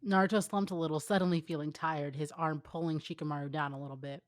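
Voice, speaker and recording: A woman's voice: deep voice, fairly even intonation, quick pace, dry recording, clean studio sound. A young woman reading fan fiction aloud as informal narration, with a casual, wry manner. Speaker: co-host, fan fiction reader. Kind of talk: informal story narration with banter.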